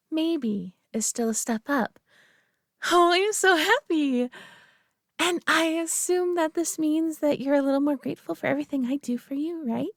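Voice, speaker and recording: Woman as a doting, prideful high-voiced alien owner. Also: no room sound, clean studio sound. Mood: delighted, coaxing. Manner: teasing, condescending, affectionate, often hushed and breathy. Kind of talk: intimate roleplay audio drama.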